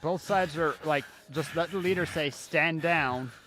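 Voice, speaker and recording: A man's voice: on the high side, a little slow, pushed and bright, a little hiss or hum, rough recording. A man streaming a video game with casual, skeptical commentary and muttered asides. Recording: game music behind the voice.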